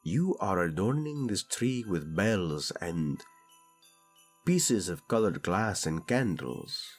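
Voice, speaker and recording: Man acting as a low-voiced, flirtatious prince. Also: husky, exaggerated voice. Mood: bemused, softening. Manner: intimate, teasing, expressive. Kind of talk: romantic audio roleplay acting.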